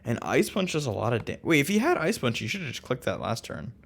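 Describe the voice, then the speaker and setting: A man casually commentating a game recap, relaxed and full of ums and restarts. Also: medium pitch, fast talker, gravelly. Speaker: co-host of a league recap.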